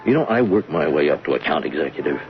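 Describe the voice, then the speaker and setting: A very deep, gravelly American voice plays a smug man in his forties, a fired adman turned thief. He is sly and persuasive, with lively intonation, in old radio drama style on a muffled recording. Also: quick pace, over music, full character voice. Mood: wry. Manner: chuckling, sly, self-assured.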